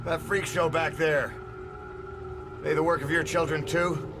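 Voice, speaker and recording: An actor playing a stoic middle-aged soldier boss in a game, speaking in a clipped, laconic way. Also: male voice, fairly high pitch, flat delivery, a little slow. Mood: guarded, probing. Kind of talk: dramatic video game cutscene acting. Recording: music behind the voice.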